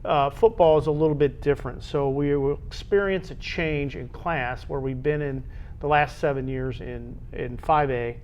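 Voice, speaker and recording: An American man co-hosting a local school sports show, talking conversationally and haltingly, often repeating words as he goes. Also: steady tone. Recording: dull lo-fi audio with low rumbling noise.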